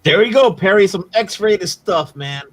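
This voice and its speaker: A man's voice: high voice, expressive, a little slow, a bit bright. A man hosting a casual online show, talking in a laid-back slangy way with many fillers. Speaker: host. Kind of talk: casual chat, channel shout-out.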